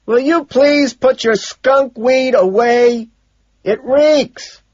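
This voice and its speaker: A man voicing a smug young male banker in an audiobook, shouting back loudly with drawn-out words. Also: very high-pitched, dry recording, highs cut off, voice pushed up. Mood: shouting, offhand.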